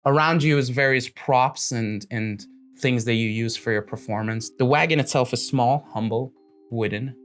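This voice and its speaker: A man with a mid-pitched voice acts as game master for a tabletop fantasy session. He paints scenes descriptively, addressing the player directly, with music clearly playing underneath. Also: moderate pace. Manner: vivid, descriptive storytelling in second person.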